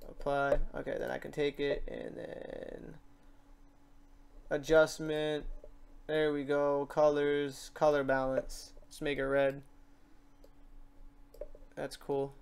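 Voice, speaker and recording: A man with a clear, smooth voice set a little high talks through a software tutorial. He is informal and rambling, thinking out loud as he works at his computer. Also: monotone, takes it slowly, long pauses. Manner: informal, rambling, thinks aloud while working. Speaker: tutorial host. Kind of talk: casual screen-share software tutorial. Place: indoors at a computer.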